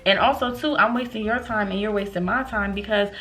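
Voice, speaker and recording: A woman in her late twenties telling a storytime to her viewers in an animated, chatty way, calling them y'all. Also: medium pitch, fairly quick, a little hiss or hum. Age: 20s. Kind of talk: casual storytime vlog.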